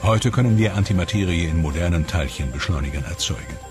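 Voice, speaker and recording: Deep-voiced male documentary narrator. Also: expressive, fast talker. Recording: studio voice-over with music behind. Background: clear background music.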